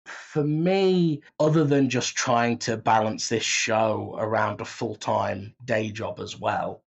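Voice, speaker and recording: A man's voice: on the low side, slow, gravelly, slightly rough. A man in a casual interview, self-deprecating and prone to acting out his own thoughts. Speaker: audio drama writer and creator, interview guest. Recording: slightly dull, muffled sound.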